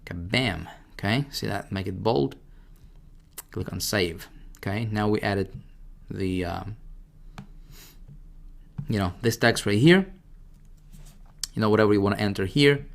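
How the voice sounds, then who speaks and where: A man presenting a casual, informal software tutorial, talking directly to the listener and often checking that they follow. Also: on the low side, a little slow, creaky, long pauses. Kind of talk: casual screen-share product walkthrough.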